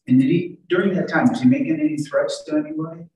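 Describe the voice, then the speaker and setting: An older man working as a defense attorney, questioning in court in a halting way and repeating his words. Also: on the high side, animated, darkish tone. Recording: very echoey courtroom, distant microphone.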